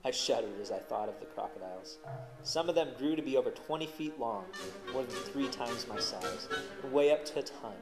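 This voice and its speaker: A man narrating a first-person story in an expressive, dramatic way as host of a story show. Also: medium pitch, fairly quick, music in the background, poor audio. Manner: dramatic, animated on exciting parts.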